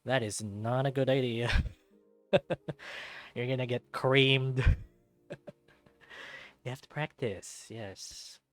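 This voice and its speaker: A man reading a visual novel aloud in an expressive way, dropping in casual asides of his own. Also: medium pitch, takes it slowly, long pauses, no room sound.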